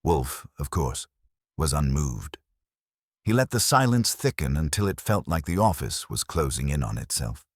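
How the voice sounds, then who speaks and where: A man's voice: extremely low pitch, big pitch swings, moderate pace, slightly rough, dry recording, clean studio sound. A man reading a first-person detective story as scripted narration. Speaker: audiobook narrator.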